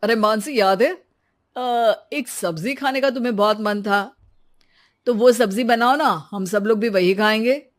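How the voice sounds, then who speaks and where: Woman putting on a high-voiced, casual young husband. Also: unhurried, close to an everyday voice. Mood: coaxing. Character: husband, casual, blunt young married man. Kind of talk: cartoon moral story acting.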